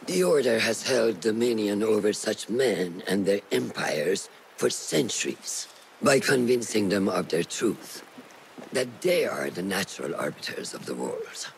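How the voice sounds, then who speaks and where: An actor playing a stern, authoritative older master assassin who instructs a pupil in a video game cutscene. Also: male voice, lowish voice, lively intonation, measured pace, creaky, slight background noise, rough recording. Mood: grave.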